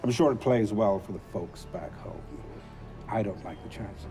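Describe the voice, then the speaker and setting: Man acting as arrogant male tycoon villain, lowish voice, sardonic, deliberate, condescending, game cutscene, prominent music. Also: dark tone, natural voice. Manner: condescending, deliberate, dryly mocking. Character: imperious, sardonic male private military tycoon.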